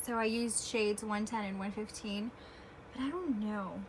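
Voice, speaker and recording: A woman in her twenties chatting casually through a makeup tutorial, self-deprecating and often clearing her throat, recorded on her phone at home. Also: mid-range voice, a little slow, noisy background. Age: 20s. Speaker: beauty vlogger. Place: home room with air conditioner. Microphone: phone camera.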